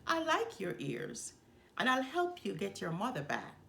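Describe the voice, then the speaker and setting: A woman's voice: mid-range voice, wildly swooping pitch, unhurried, gravelly, a bit bright, a little hiss or hum, exaggerated voice. A woman voicing a friendly, plucky male circus mouse from a storybook in a reassuring way.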